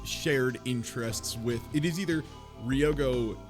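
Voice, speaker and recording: A man's voice: medium pitch, measured pace, over music. A man chatting casually and speculatively in a group, swearing freely and acting out imagined lines as he goes. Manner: sweary, acts out imagined quotes. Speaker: podcast panelist discussing a visual novel.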